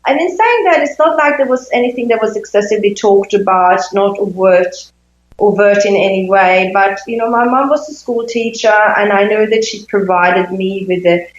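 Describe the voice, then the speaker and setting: A woman in her fifties from Sweden, a native Swedish speaker, giving reflective interview answers with thoughtful pauses in a conversational style. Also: mid-range voice, roomy sound, dull audio, slight background noise. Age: 50s. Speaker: interview guest, intimacy coach.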